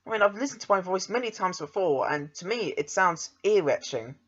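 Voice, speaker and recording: A man's voice: high voice, animated, a little fast, a bit bright, muffled recording. A young man with an English accent, chatting casually as a podcast host in a hesitant, self-deprecating way.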